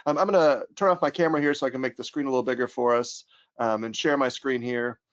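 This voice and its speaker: An American man guides a software webinar in an easygoing, filler-heavy way. His voice sits at a middle pitch. It comes through a computer mic on a call, a little dull. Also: fast talker. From United States. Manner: casual, many ums and restarts, upbeat when introducing topics. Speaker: trainer and pedagogical consultant. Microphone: computer mic on a video call. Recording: slightly dull webinar audio.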